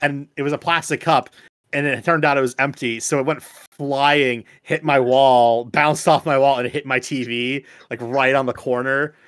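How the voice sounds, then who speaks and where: Young adult American male, casual storyteller, halting and sweary, dry close sound. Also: medium pitch, steady tone, fairly bright tone, no echo. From United States. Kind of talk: casual group chat, anecdotes.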